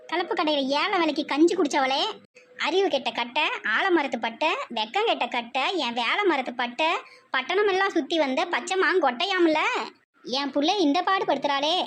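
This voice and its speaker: A woman acts out an older village mother from a comedy skit, extremely high-pitched. She wails and scolds in sing-song proverbs with a southern Tamil Nadu accent, over a noisy recording. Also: lightly acted.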